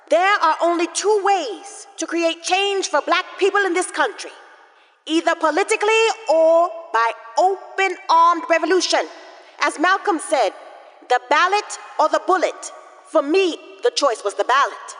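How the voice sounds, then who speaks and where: An actress playing a defiant congresswoman, delivering an emphatic, deliberate political speech in an audio drama. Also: very high voice, a bit bright. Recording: reverberant hall, faint crowd.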